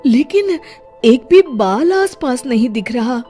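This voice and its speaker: An irritable, worried village dad, acted by a woman with a high voice. He blusters and exclaims at a slow pace, in storybook style with faint music. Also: expressive, mellow, husky, full character voice. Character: worried village father, gruffly irritable then concerned. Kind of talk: dramatized moral-story dialogue.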